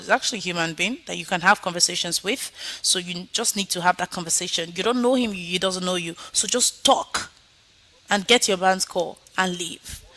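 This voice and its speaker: A woman's voice: medium pitch, a bit bright. A woman teaching an online IELTS speaking lesson, coaching students and then running a mock exam. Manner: coaching, encouraging, repeats "okay?". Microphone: video call.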